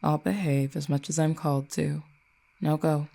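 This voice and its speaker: Casting: ancient cupid for audio roleplay, possessive and haughty, dark mellow tone with gravelly fry, deliberate teasing menace performer acting it out. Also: medium pitch, steady tone, close to an everyday voice. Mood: dominant, teasing. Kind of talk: scripted audio roleplay, acted monologue.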